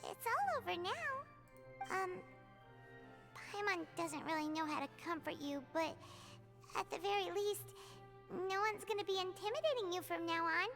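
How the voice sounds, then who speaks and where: Casting: very high, squeaky childlike fairy sidekick for a game, cute and easily flustered, bouncy delivery, music behind. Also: female voice, animated, moderate pace. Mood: gently consoling. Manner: speaks of self in third person. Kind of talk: video game voice acting.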